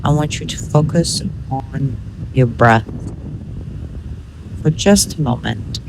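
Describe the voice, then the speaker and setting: A woman leading a guided meditation in measured, instructional short phrases with pauses between them. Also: a bass voice, gravelly, background noise.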